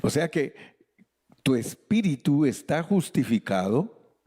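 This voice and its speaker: Male pastor, Spanish native, unhurried sermon with wide lively pitch and dark warm tone. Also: breathy edge. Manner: animated preaching with playful asides and shouted exclamations. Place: church sanctuary.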